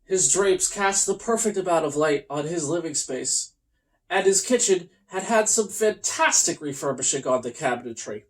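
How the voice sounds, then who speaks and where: A host reading a comic children's storybook aloud in a theatrical, mock-earnest way with deliberate pauses. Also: medium pitch, lively intonation, poor audio.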